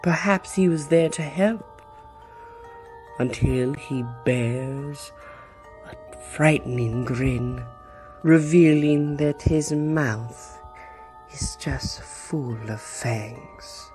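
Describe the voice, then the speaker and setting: A man's voice: a little high, a little mellow. A man narrating a horror adventure game dramatically, drawing out his phrases for suspense. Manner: slow, suspenseful, drawn-out phrases. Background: background music.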